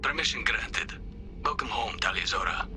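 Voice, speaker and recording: A man's voice: on the low side, animated, moderate pace, sharp tone, faint music behind. A man playing a terse, official alien fleet traffic controller in a video game, heard through a radio comm filter. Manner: clipped, official. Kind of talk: video game cutscene acting.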